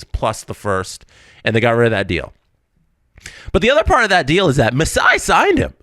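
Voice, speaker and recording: A man's voice: medium pitch, fairly bright tone, no echo, studio quality. Stuffy-nosed man ranting about sports. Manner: ranting, emphatic, piles up repeated phrases. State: stuffy nose. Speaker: sports podcast host. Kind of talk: solo sports podcast rant.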